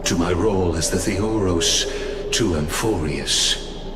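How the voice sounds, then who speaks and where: A man voicing a composed, solemn, cryptic elder watcher in a video game, with formal, theatrical, measured delivery. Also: deep voice, roomy sound, very noisy. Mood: courteous, persuasive. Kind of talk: scripted video game voice acting.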